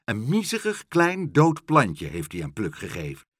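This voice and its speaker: A man voicing a blunt, brisk male seabird messenger in a children's storybook, loud and matter-of-fact. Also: medium pitch, wildly swooping pitch, breathy edge, no echo, studio quality, full character voice.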